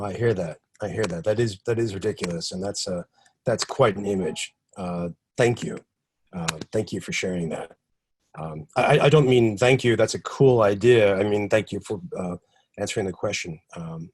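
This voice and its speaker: A man hosting an online workshop session over a video call, reading out comments in a halting way with many ums and uhs. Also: fairly low pitch, a little mellow, a little hiss or hum. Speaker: session host.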